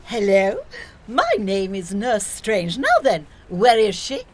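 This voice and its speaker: A woman playing an eccentric, theatrical nurse in an audio play, breezy even about grave matters. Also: mid-range voice, takes it slowly, a little hiss or hum. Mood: brisk, odd.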